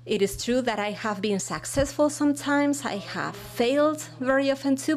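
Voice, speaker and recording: Woman, simultaneous interpreter, high pitch, hesitant delivery with fillers. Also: darkish tone. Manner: halting, with fillers and frequent restarts. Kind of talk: live interpretation of a keynote talk.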